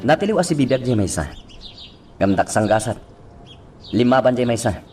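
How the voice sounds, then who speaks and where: A man's voice: medium pitch, sing-song, normal speed, darkish tone, soft background music, close to an everyday voice. A man acting an official, authoritative police chief in a radio drama. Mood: formal, reporting. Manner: formal, authoritative.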